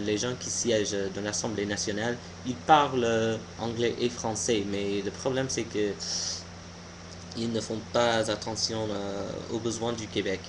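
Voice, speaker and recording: A young American man, a native English speaker, giving an informal opinion monologue haltingly, searching for words. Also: medium pitch, background noise. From United States. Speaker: video blogger.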